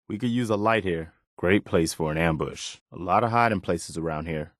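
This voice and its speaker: An actor playing an earnest, wary militia man in a post-apocalyptic video game, speaking in a measured, conversational way. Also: deep voice, dry recording, clean studio sound, close to an everyday voice. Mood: watchful, conversational. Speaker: video game companion character. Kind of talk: game voice acting, short spoken reactions.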